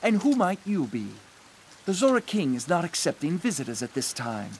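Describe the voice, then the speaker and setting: High-voiced, courtly fish-folk prince. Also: male voice, sing-song, normal speed, darkish tone, a little hiss or hum. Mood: formal, suspicious. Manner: formal, theatrical, courteous. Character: proud, courtly fish-folk prince, suspicious yet polite.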